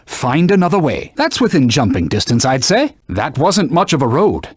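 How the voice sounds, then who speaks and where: A man's voice: a little high, sing-song, moderate pace, breathy edge, dry recording, studio quality. A man voicing a haughty talking sword, a stern knightly mentor who speaks in pompous, formal phrases in a video game. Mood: instructive, composed. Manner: pompous, formal archaic phrasing, scolding and instructive. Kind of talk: theatrical video game voice acting.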